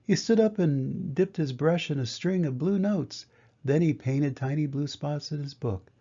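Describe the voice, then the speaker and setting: Mellow older man reading a storybook, muffled sound. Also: on the high side, a little slow, breathy edge. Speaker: grandfather reading a picture book aloud. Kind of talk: storybook narration for children.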